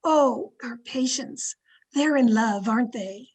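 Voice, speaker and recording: Woman playing a high-voiced, meddlesome older aunt. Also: takes it slowly, a little mellow, slightly rough, full character voice. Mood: cheerful, scheming. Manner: chatty, knowing, insistent. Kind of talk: old-time radio drama acting.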